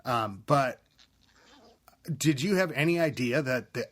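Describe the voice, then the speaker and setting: Guy cohosting a gaming podcast, middle pitch, keeps trailing off and restarting. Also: expressive, measured pace, a bit bright. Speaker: podcast co-host. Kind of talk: casual chat.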